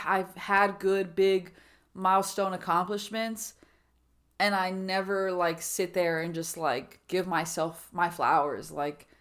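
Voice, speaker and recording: A woman in her thirties with a voice pitched a little low speaks in a loose, rambling vlog style. She fills her talk with "like" and restarts, and now and then slips into quoted asides that voice her own inner thoughts. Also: fairly bright tone. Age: 30s. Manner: rambling, filler-heavy with many "like"s and restarts. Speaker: vlogger. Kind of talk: reflective personal vlog.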